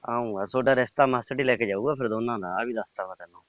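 A man's voice: medium pitch, racing. A middle-aged man, having a casual private chat over the telephone. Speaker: uncle on a phone call. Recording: tinny, band-limited phone call recording.

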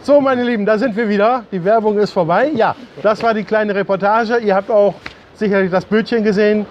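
High-pitched middle-aged man, chatty vlog host. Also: steady tone, fairly quick, dull audio, slight background noise. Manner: chatty, repeats his words. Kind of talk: casual chat to camera. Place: outdoor rooftop terrace by the sea. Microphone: camera a few steps away.

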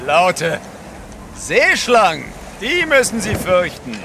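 Superstitious seaman: high male voice, ominous yarn-spinner, unhurried delivery, radio play with noisy ship ambience. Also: very animated, exaggerated voice. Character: gruff superstitious sailor spinning ominous sea yarns. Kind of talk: audio drama acting. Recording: loud outdoor ship ambience.

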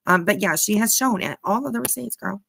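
Snarky lady reacting to someones livestream, sweary n sarcastic, average pitch, really dry sound. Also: a little fast, gravelly, a bit bright, breathy edge, no room sound. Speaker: reaction channel commentator. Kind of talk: casual mocking commentary over a played livestream clip.

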